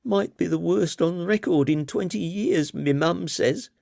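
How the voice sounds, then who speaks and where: A man voicing a timid, bumbling young office worker in an audiobook, with a high, stammering, deferential delivery. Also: a little slow, gravelly, darkish tone, no room sound, clean studio sound. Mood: nervous, awkward. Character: nervous, bumbling young male office employee.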